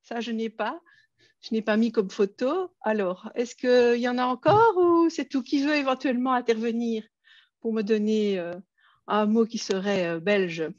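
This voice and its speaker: A woman's voice: a little high, normal speed, a little mellow, no echo, lo-fi sound. A woman with a Belgian French accent presenting an online workshop, friendly, with many hesitations and fillers.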